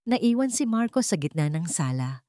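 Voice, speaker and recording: A woman's voice: fairly low pitch, very animated, moderate pace, warm tone, no room sound, clean studio sound. A woman with a Filipino accent narrating a scripted dramatic story. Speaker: audiobook narrator.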